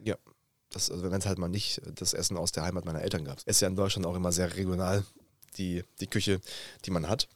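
A young adult man with a slight cold, co-hosting a podcast in a relaxed, joking way with many fillers. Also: low voice, a little fast, no echo. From Germany. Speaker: podcast co-host. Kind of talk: casual chat.

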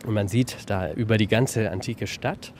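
A man's voice: on the low side, normal speed, a little mellow. A man speaking conversationally in an on-site interview as the director of an archaeological park. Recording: faint background noise on location. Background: faint ambient noise.

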